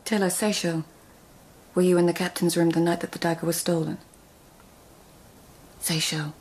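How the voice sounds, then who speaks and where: Low-voiced, poised court lady. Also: flat delivery, moderate pace, darkish tone, slightly rough. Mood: firm. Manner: formal, poised, direct. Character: composed, shrewd court lady assisting an investigation. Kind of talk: radio drama acting.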